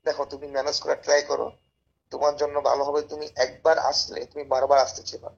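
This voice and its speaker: A Bangladeshi man making an informal phone sales pitch in a pushy, persuasive, repetitive way. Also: completely flat delivery, fast talker, gravelly, breathy edge, highs cut off. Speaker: caller pitching a service as a tout.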